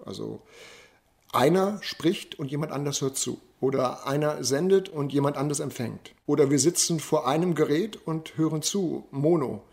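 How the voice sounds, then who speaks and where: A man in reflective interview talk, explaining things in a measured way. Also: a little high, gravelly, a little mellow.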